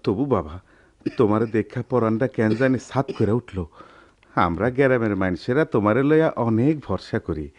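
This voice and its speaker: An actor playing a world-weary old village uncle with a rural Bangladeshi accent, plaintive and lamenting, in a radio drama. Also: male voice, lowish voice, lively intonation, moderate pace, close to an everyday voice. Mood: concerned. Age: older.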